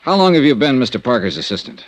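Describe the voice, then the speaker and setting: An actor playing a brisk, wry American insurance investigator in Golden Age radio detective style, clipped and pressing with his questions. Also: mid-range voice, big pitch swings, no echo, natural voice. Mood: probing. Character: brisk, hard-boiled male freelance insurance investigator. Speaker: radio drama lead actor.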